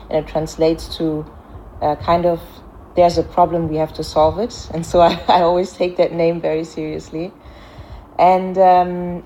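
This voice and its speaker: A woman with a slightly low voice speaks in an interview, feeling her way through each answer. She fills her pauses with soft fillers and often restarts mid-sentence. A faint hiss of noise sits under her voice. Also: rough recording. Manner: hesitant, frequent fillers and restarts. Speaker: interviewee, farm founder.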